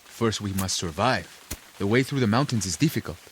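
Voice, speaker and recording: A man as a measured, skeptical rebel, insects behind. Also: lowish voice, animated, a little hiss or hum, lightly acted. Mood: calm, doubting. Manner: measured, reasoning. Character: level-headed, skeptical male rebel comrade, a realist. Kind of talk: radio drama acting. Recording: clear insect and jungle ambience.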